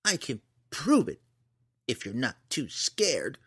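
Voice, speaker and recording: Defiant small boy for a storybook, acted by a man: raised pitch, emphatic, insistent he's right. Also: sing-song, normal speed. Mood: eager insistence. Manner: stubborn, protesting, emphatic. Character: defiant, mischievous little farm boy insisting he's truthful.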